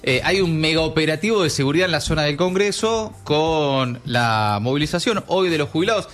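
Chatty Argentine radio host. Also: male voice, fairly high pitch, lively intonation, fairly quick, a bit bright. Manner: conversational, chatty with co-hosts. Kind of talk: casual news talk radio.

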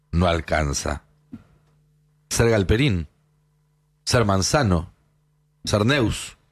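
Argentine man, emphatic news commentator. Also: lowish voice. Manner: emphatic, rhetorical questioning. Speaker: political news show host.

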